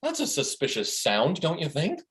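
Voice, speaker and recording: A man playing an excitable, paranoid and spaced-out friend in a comic play reading over a video call, with theatrical delivery. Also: on the high side, normal speed. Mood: suspicious, insistent. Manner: theatrical, wildly swooping delivery. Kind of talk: comic stage script table read. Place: home, online video call.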